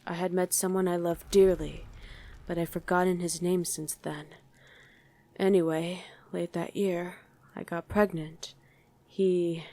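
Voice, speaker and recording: A woman voicing a guarded demon who was once a human mother, in an intimate ASMR roleplay with halting, emotional delivery. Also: lowish voice, warm tone. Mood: wistful, pained. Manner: halting, emotional, speaks directly to one listener.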